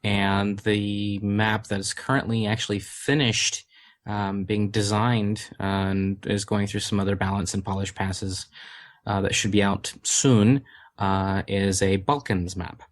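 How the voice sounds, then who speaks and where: A man's voice: on the low side, flat delivery, unhurried. A man, the community manager of a game studio, answering interview questions in a hesitant way with many fillers and restarts.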